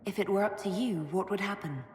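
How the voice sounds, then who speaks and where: An actress playing a composed young elf leader in a dramatic video game, measured and serious, firm yet tender. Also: female voice, mid-range voice, a little mellow, a little hiss or hum, close to an everyday voice. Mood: guarded.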